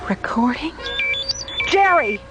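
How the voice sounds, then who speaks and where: A woman's voice: high-pitched, big pitch swings, slow pace, full character voice. An actress playing an anxious, urgent female officer on a space station in a radio drama. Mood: alarmed. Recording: music behind dialogue.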